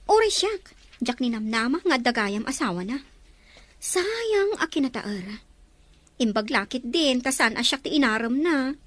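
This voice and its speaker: A woman playing a lively young office worker who teases her friend in an Ilocano radio drama, expressive and playful. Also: sits high, a little slow, no echo, heavily acted. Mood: upset.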